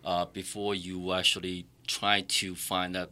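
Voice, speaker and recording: Deep-voiced guy from boston, loan officer on an interview, super bright tense tone, lots of ums. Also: monotone, unhurried. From Boston area, United States. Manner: hesitant, many fillers and restarts. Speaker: mortgage loan officer, guest. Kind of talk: interview answers.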